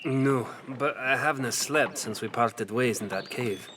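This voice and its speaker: A man's voice: mid-range voice, noisy background, close to an everyday voice. Fantasy drama: man as a weary veteran commander, middle register, sober storytelling, slight background ambience. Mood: solemn reminiscing. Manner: measured, plain-spoken, solemn. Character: seasoned, weary military general recounting old memories.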